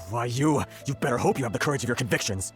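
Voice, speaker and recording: An actor playing a polite, earnest older merchant in a video game, timid and easily flustered. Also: male voice, medium pitch, lively intonation, fast talker, close to an everyday voice. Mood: indignant. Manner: stammering, polite. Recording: music behind the voice.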